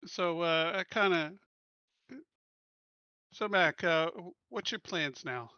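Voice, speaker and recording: A man's voice: fairly high pitch, slow pace, sharp tone, clean tone, no echo, muffled recording. A man playing a tabletop game, chatty and rambling. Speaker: player. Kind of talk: casual tabletop play.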